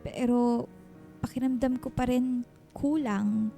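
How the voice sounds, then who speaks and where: A woman's voice: a little high, fairly even intonation, slow pace, gravelly, mellow, faint music behind. An actress playing a grateful, hesitant single mother in a Filipino radio drama, pleading and emotional. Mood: gentle, reluctant.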